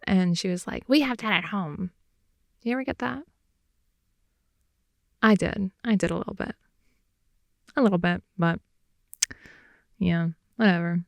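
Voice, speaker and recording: Slow, creaky young woman rambling playfully. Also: fairly low pitch, dry recording, clean studio sound. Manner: playful, rambling, slangy, drifting between soft whispers and animated speech. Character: wide-awake chatty girlfriend, silly and playful. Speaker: ASMR roleplay voice performer. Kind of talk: improvised intimate ASMR girlfriend roleplay, casual rambling. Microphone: close microphone, dry sound.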